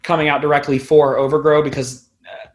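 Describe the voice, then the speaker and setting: A man's voice: fairly high pitch, level tone, fairly quick. A young adult man talking as an interview guest in a casual, rambling, informal way, with some loose swearing. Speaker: band frontman, podcast guest.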